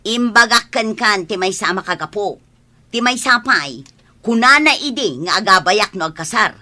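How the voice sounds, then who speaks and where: Babaeng gumaganap na maramdaming asawa. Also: female voice, mid-range voice, lively intonation, normal speed, pushed and bright. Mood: resentful. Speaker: wife. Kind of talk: radio drama acting.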